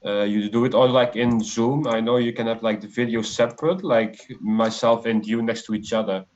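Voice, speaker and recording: A man in his twenties from the Netherlands joins a live stream as a guest over a video call. His voice is mid-pitched, and he talks in a relaxed, hesitant way full of fillers. The audio is slightly muffled and lo-fi. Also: moderate pace. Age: 20s. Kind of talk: casual interview chat.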